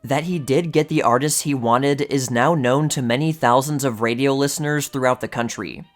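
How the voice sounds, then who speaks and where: A man giving a measured, scripted narration, reading an article aloud and enunciating each item. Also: medium pitch. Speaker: channel narrator reading a vintage magazine article. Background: music.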